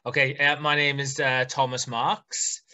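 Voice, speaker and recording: A man's voice: mid-range voice, flat delivery, unhurried, pushed and bright. A Welsh man in his thirties, a rugby coach chatting casually on a video call from a small room at home, with plenty of ums and fillers. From Wales. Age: 30s. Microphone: video call webcam.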